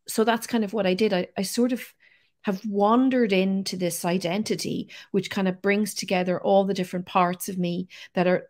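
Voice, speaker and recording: A middle-aged Irish woman, hoarse with a cold, talking openly as a guest on a video call. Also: mid-range voice, a little mellow. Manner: open, reflective, rambling. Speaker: guest, space communicator. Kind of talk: casual interview chat. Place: home room.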